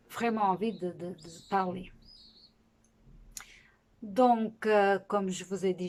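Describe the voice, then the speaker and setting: Slow-speaking woman with a Portuguese accent. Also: mid-range voice, a little mellow, poor audio. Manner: unhurried, with frequent pauses and restarts. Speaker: knitting podcast host. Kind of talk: casual spoken podcast monologue.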